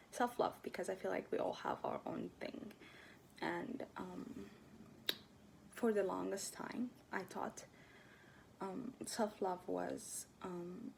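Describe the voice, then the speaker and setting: A woman in her twenties from Ethiopia talking casually to her camera, soft-spoken and hesitant, often dropping to near-whispers. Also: fairly low pitch, gravelly, slight background noise, rough recording. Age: 20s. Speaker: vlogger. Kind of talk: casual personal talk to camera.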